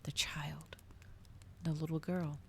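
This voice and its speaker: Low, creaky woman reading softly, ASMR. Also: level tone, takes it slowly, a little hiss or hum. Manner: soft, hushed, breathy, often slipping into whisper. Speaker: streamer reading a novel aloud.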